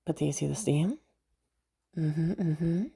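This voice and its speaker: A woman acting as a playful, caring party host in an intimate audio roleplay, soft-voiced and hesitant, rambling as she gently reassures the listener. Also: sits low, a little slow, vocal fry, husky, exaggerated voice. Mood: soft, reassuring.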